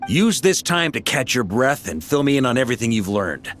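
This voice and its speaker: An actor playing a hearty, confident grandmaster of knights in a video game, commanding yet easygoing. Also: male voice, medium pitch, sing-song, a little fast, fairly bright tone, faint music behind. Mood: easygoing, assured. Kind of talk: English video game voice acting.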